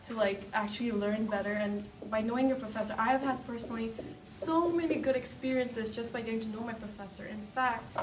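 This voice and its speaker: A woman's voice: on the high side, fairly quick, hardly any pauses, echoey room. Young female student guide from Nepal and Tibet, informal and emphatic, distant mic with faint noise. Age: young adult. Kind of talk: casual unscripted campus tour. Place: indoor hallway and classroom.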